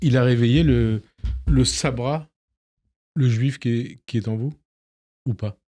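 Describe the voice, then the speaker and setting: A man hosts a radio interview in a slightly husky voice, at a mid pitch. He keeps prodding his guest with short follow-up questions and tags. The studio sound is dry and close. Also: measured pace, dark tone, dry recording.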